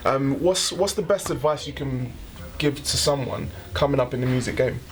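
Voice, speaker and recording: A man from Nigeria who presents and interviews, easygoing and quick to agree, often restarting his sentences. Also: medium pitch, noisy background. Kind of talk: casual backstage interview. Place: backstage at a music showcase.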